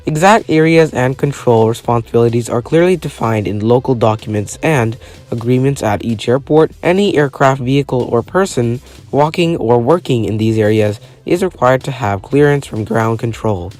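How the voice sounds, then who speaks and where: A man's voice: medium pitch, moderate pace, a little mellow. A man reading a scripted educational narration in a formal, matter-of-fact manner, occasionally stumbling over a word. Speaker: narrator of an explainer video. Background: music.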